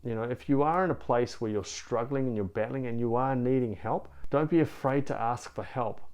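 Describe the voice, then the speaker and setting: A man's voice: mid-range voice, fairly quick, darkish tone, clear, resonant tone. A man with a New Zealand accent, talking straight to camera as a relationship coach in an earnest, encouraging way, often saying "you know". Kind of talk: direct-to-camera coaching talk.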